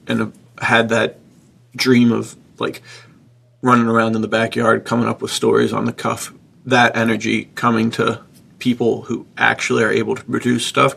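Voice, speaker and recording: Male podcast co-host, very creaky and rough-edged, informal and reflective, thinking out loud. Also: medium pitch. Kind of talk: casual chat, reflective.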